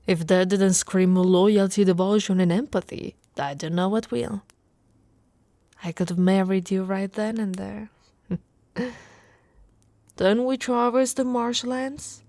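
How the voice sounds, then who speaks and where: A woman playing a big, tough but tender orc wife in an intimate, soft ASMR roleplay. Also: mid-range voice, no room sound. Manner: soft, slow, intimate, often breathy with soft chuckles.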